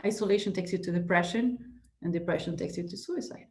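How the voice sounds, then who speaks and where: A woman's voice: on the low side, fairly quick, darkish tone. A middle-aged woman from Ecuador, talking as a podcast guest in a passionate, emphatic way, full of restarts and "you know"s. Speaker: podcast guest, real estate broker. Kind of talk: conversational interview.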